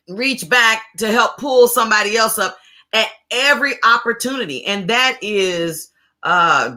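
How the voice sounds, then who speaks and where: A woman's voice: mid-range voice, pushed and bright. A woman co-hosting a podcast, talking casually and rambling with many restarts and repeated words. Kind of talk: casual chat.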